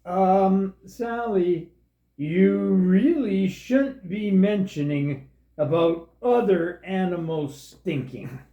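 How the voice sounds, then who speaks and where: A woman's voice: mid-range voice, slow, dark tone, close to an everyday voice. A woman voicing a naive, timid but friendly crocodile in a children's puppet show, with a simple, questioning delivery. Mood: earnest. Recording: dull, echoey room recording.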